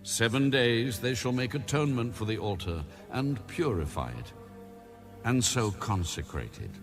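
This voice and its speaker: Solemn, expressive male scripture narrator over music. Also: mid-range voice. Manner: solemn, measured, deliberate. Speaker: audio Bible narrator.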